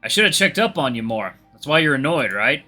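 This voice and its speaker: Man doing a quiet, tender young friend. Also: mid-range voice, big pitch swings, brisk, soft background music. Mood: soft. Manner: soothing, soft-spoken, tender.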